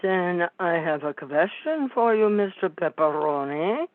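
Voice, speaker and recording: A woman playing a pompous, neurotic psychiatrist in a comic radio play, with a measured clinical delivery and tinny, phone-like sound. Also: fairly low pitch, animated, no echo. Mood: hesitant, neurotic.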